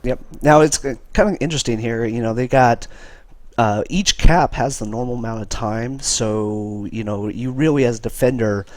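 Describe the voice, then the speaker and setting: A man hosts a live game stream while fighting a cold, talking in a loose, chatty way full of fillers. His voice sits at a middle pitch, recorded close and dry with no room sound. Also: moderate pace, no room sound. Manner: relaxed, chatty, many fillers. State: has a cold. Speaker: esports caster and stream host. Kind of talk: casual live game commentary.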